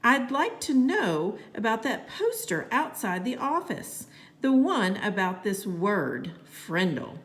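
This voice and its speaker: An inquisitive woman newspaper reporter from a children's storybook, read out by a woman. She is courteous but persistent, putting probing questions with a lively, rising and falling melody. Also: a little high, takes it slowly, exaggerated voice. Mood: polite, businesslike. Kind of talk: acted dialogue in a children's read-aloud.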